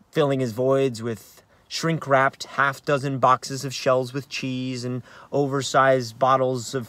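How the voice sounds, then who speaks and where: A man in his thirties with an American accent, an actor reading a play as conversational, halting narration with uneven emphasis, recorded inside a car. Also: mid-range voice, vocal fry, fairly bright tone, no room sound. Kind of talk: dramatic narration of a play read on book. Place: inside a parked car in daylight.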